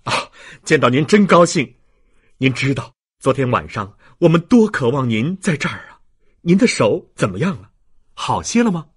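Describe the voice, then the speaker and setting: A man voicing an excitable, earnest young bridegroom in an audiobook, exclaiming with strong emotion. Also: mid-range voice, moderate pace, slightly rough, no echo, studio quality. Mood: joyful, eager.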